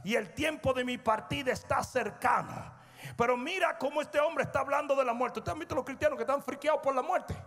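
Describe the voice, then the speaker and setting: A middle-aged male pastor preaching a sermon on a large church stage, swinging from hushed asides to shouted peaks and calling on the crowd to answer. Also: very high voice, fairly quick. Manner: prompts the crowd for responses. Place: large church stage before a seated audience. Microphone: handheld microphone. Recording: long hall reverb with faint music.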